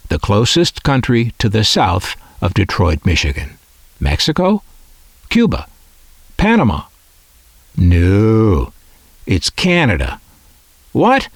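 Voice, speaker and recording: A man's voice: fairly low pitch, slightly rough, no echo. A man narrating a scripted collector's video as host, with dry, tongue-in-cheek asides.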